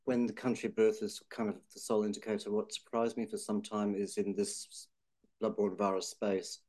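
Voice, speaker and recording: A man with a very low, mellow voice talks in a flat, even tone. He speaks thoughtfully and hedges as he goes, with plenty of fillers and restarts. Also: normal speed. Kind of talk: policy discussion.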